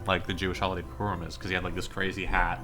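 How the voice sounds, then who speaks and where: A man's voice: sits low, little pitch movement, racing, over music. A man from a voice cast, chatting informally in a group commentary. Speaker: voice cast member. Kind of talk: casual group chat.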